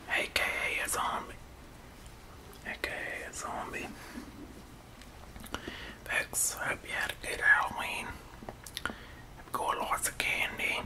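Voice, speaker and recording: A young man whispering breathily as an ASMR host, halting and folksy, repeating his phrases close to the microphone. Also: rough recording. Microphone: close studio microphone with foam cover.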